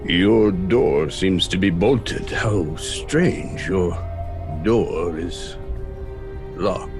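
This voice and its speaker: An actor playing an ancient aristocratic vampire count in a horror audio drama, formal and ominously polite. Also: male voice, low voice, very slow. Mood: mock courteous. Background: music.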